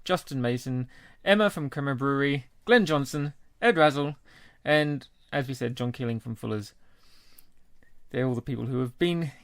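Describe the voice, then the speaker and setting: A man co-hosts a casual podcast in a mid-pitched voice, reading off lists briskly and plainly. The sound is close and dry. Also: fairly bright tone, no room sound. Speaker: podcast co-host. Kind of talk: casual chat.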